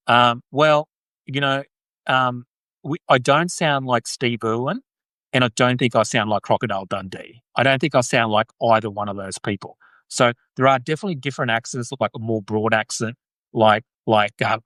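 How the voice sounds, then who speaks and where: A man's voice: medium pitch, a bit bright, no echo. An Australian man hosting a live stream, chatting casually with viewers and peppering his points with "right?" and restarts. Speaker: host.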